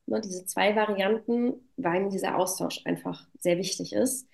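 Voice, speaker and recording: A woman's voice: medium pitch, steady tone, moderate pace, creaky, darkish tone. Reflective woman chatting as an interview guest. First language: German. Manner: reflective, filler-laden with frequent "ne" tags. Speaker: interview guest, self-employed business owner. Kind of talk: casual conversational interview. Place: at home.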